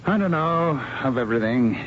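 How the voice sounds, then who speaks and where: An actor playing an anxious, superstitious and stubborn engineer in a radio drama, brooding and hesitant. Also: male voice, mid-range voice, animated, normal speed, natural voice. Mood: fearful, brooding. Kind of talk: 1970s radio drama acting. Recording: muffled, slightly noisy 1970s radio broadcast.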